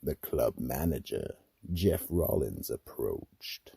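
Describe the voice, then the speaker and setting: Ominous radio mystery narrator, male actor: man, extremely low, husky and gravelly, slow delivery. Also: big pitch swings, mellow, no echo, clean studio sound, heavily acted.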